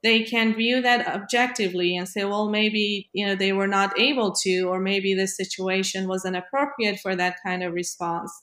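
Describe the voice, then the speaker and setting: A woman's voice: mid-range voice, fairly even intonation, normal speed, few pauses, fairly bright tone. Echoey woman explaining things thoughtfully. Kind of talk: reflective explanatory conversation. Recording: roomy, echoing sound.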